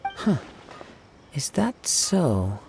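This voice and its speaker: An actor playing a calm, modest young wandering swordsman who speaks softly and politely, in a video game. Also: fairly high pitch, very slow, gravelly, slight background noise, exaggerated voice. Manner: calm, soft-spoken and polite.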